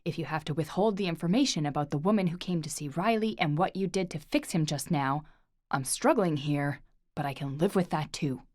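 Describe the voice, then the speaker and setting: A woman's voice: lowish voice, fast, few pauses, darkish tone, dry recording, studio quality, lightly acted. A woman voicing an intense, protective young man who speaks emphatically. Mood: pleading. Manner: pleading, emphatic.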